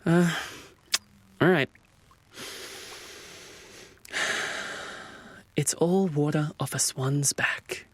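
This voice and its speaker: A man's voice: fairly high pitch, slow. A young man playing an anxious, hurt misfit swan in a modern audio drama, speaking in a hesitant, conversational way. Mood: hesitant, relieved. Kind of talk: audio drama acting, naturalistic modern dialogue.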